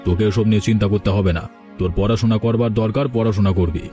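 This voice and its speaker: A man plays a middle-aged village moneylender in a cartoon, two-faced and patronising. His voice sits fairly low with a soft, dark tone, over faint music. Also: fairly even intonation, fast talker, natural voice. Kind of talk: Bengali cartoon story dialogue.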